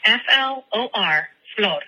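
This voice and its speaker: A woman's voice: medium pitch, lively intonation, takes it slowly, pushed and bright, breathy edge, poor audio. A middle-aged woman from California leaving a chatty, giggly voicemail over the phone, gushing and affectionate. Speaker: caller.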